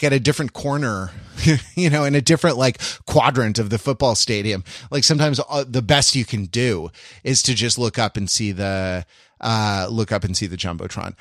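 A man's voice: medium pitch, fairly bright tone, no echo, clean studio sound. A middle-aged man chatting as a podcast host in a casual, intellectual way, wry and digressive, often searching for his words. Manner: erudite, wry.

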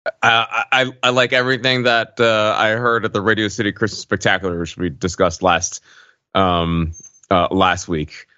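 A man's voice: lowish voice, level tone, moderate pace, bright, forceful tone, no echo, lo-fi sound. A man co-hosting a podcast in casual, playfully rambling, tongue-in-cheek chat. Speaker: podcast co-host.

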